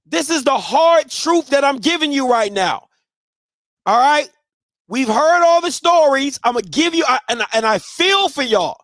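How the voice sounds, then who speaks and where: Host, male, 30s, from Maryland. High voice, blunt and emphatic, dry close sound. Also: animated, unhurried, no echo. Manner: emphatic, blunt, swings between soft and shouting. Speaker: live show host. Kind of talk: casual advice talk to listeners.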